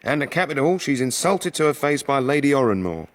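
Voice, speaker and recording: An actor playing a gossipy manservant, wry and knowing, in a period radio drama. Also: male voice, mid-range voice, very animated, a little fast, no room sound, close to an everyday voice. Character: gossipy English manservant narrating his employers' affairs. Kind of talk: radio drama narration and dialogue.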